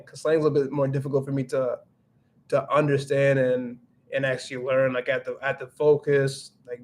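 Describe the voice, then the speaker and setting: A young man talks casually on a livestream in a mid-range voice. He rambles and restarts often, filling gaps with "like". He sounds easygoing and unscripted. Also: mid-range voice. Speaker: livestream host reading chat. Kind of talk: casual livestream chat, mixing English and Spanish.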